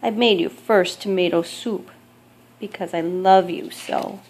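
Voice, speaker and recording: A woman's voice: lowish voice, unhurried, a little mellow. A woman voicing a loving mother bunny in a children's storybook, calling to her child in an affectionate sing-song.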